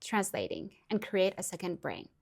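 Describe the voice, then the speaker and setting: A woman's voice: on the low side, sing-song, normal speed, gravelly, noisy background. A woman in her twenties from Iran, whose first language is Persian, giving earnest, upbeat language-learning advice to camera with frequent small laughs. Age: 20s. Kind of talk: direct-to-camera tutorial vlog.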